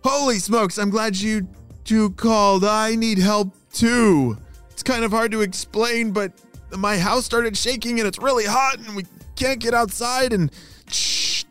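A man voicing an excitable, goofy adult neighbor who exclaims loudly and gets flustered. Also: sits high, measured pace, clear, resonant tone, faint music behind, pitched above the performer's own voice.

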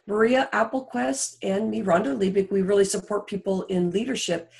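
A woman's voice: medium pitch, fairly even intonation, normal speed, gravelly, darkish tone. A woman talking casually as a podcast guest, effusive and encouraging in manner. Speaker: podcast guest, coach and author. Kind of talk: casual interview talk.

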